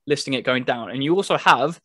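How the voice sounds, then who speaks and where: A man's voice: medium pitch, a little fast, bright, forceful tone, no echo, clean studio sound. A man co-hosting a gaming podcast, chatting casually and explaining things step by step. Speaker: podcast co-host reviewing a video game. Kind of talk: casual chat.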